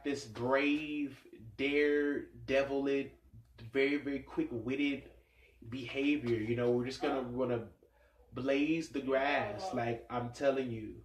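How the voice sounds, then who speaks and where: A man with a stuffed-up nose giving a casual tarot reading, musing aloud and rambling with many fillers. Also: medium pitch. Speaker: tarot reader. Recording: echoey room sound.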